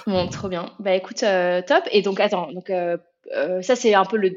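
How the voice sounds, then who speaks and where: A woman's voice: mid-range voice, moderate pace, muffled recording. A woman hosting a casual business interview, friendly and hesitant, with many fillers. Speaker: host, company founder.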